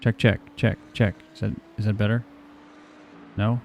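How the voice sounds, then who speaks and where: A man with a quiet voice, streaming a sim race and chatting casually in a low-key, mumbly way while he tests his mic. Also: level tone, normal speed, vocal fry, a little hiss or hum.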